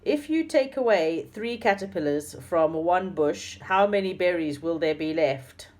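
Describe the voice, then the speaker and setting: A woman's voice: fairly low pitch, lively intonation, unhurried, a little mellow, pitched above the performer's own voice. A woman reading a storybook aloud, voicing a sensible young boy who sounds puzzled and indignant. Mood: baffled.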